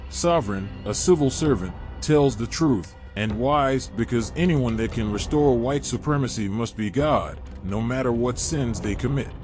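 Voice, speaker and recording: A man's voice: medium pitch, darkish tone. A man delivering scripted religious narration in a preachy, denunciatory manner, often posing rhetorical questions.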